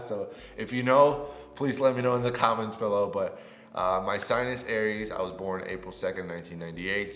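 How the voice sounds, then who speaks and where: A man in his twenties from Puerto Rico, a native Spanish speaker, giving an upbeat, chatty introduction on his new channel. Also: lowish voice. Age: 20s. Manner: upbeat and chatty, rising with excitement. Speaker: YouTuber. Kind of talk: casual vlog self-introduction. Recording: echoey room with long reverb.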